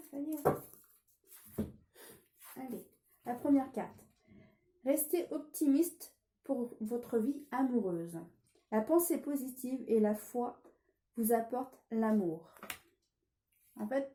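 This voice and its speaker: A woman's voice: fairly high pitch, slow, pauses often, warm tone, roomy sound. A woman with a cold giving a casual tarot reading, hesitant with many fillers and restarts. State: has a cold. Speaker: tarot card reader.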